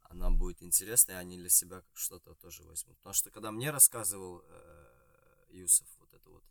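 Низкий голос, разговорчивый мужчина-гость подкаста. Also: male voice, deep voice, fairly quick, creaky, fairly bright tone, no room sound, clean studio sound. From Azerbaijan. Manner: chatty, jokey, storytelling with quoted dialogue. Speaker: podcast guest, PR expert. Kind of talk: casual podcast chat.

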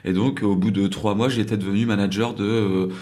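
Man from France, low voice, chatty interviewee, rambling with lots of fillers. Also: steady tone, fairly quick, a little mellow. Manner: rambling, many fillers and restarts. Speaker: crêperie owner in South Korea. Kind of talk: casual interview storytelling.

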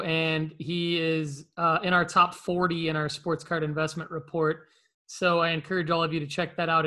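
Guy hosting a casual collectibles chat, bright kinda high voice, rambles and stops and starts. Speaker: show host. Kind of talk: casual, conversational collectibles talk.

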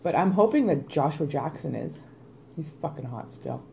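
A woman co-hosting a podcast, chatting casually, animated and jokey, swearing freely. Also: sits low, moderate pace, creaky, a little hiss or hum. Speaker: podcast co-host. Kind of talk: casual chat.

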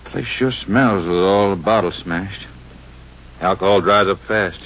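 A man's voice: sits low, measured pace, a bit bright, heavily acted. A man acting a plain-spoken small-town Texas sheriff in a 1950s radio crime drama, folksy and laconic. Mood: wary, plain. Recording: muffled, noisy 1950s radio recording.

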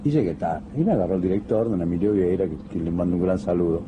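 A man's voice: low voice, fast, lo-fi sound, background noise. A man, a singer and actor telling an amused story in an interview.

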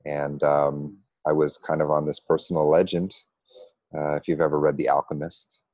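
A middle-aged man with an American accent, telling his personal story conversationally with a halting, pause-filled delivery. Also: very deep voice, flat delivery, a little mellow, no room sound, highs cut off. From United States. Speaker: interview guest, meditation coach.

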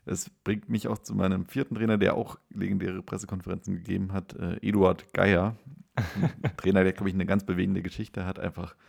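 A man chatting casually as co-host of a football podcast, in a halting way with self-corrections. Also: low-pitched, little pitch movement, creaky, a little mellow, husky. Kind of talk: casual conversational football discussion.

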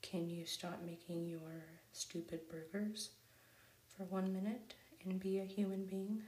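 A woman's voice: medium pitch, little pitch movement, unhurried, darkish tone, reverberant, lightly acted, poor audio. A woman whispering the lines of a smug male activist who makes documentaries, in a cartoon story. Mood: snide.

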